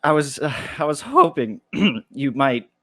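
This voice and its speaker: A man's voice: on the high side, sing-song, unhurried, fairly bright tone, no echo, heavily acted. Man playing a nervous college guy, dry sound. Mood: nervous, awkward. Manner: hesitant, stammering when nervous. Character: nervous, self-deprecating young male college freshman with a crush. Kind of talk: table read of a pilot script.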